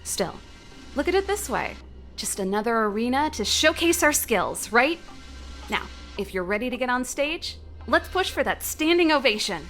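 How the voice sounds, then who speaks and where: A woman's voice: a little high, big pitch swings, normal speed, fairly bright tone. A spirited ex-army game heroine, playful n a bit theatrical, music behind her. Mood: showy, eager. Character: spirited, teasing woman ex-soldier with a showy streak. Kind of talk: video game character acting.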